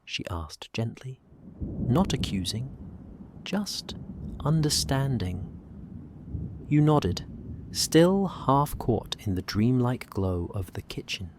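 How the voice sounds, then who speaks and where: A narrator reading a soothing bedtime story in a hushed, gentle voice with soft pauses. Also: medium pitch, very animated, background noise. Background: soft fantasy ambience.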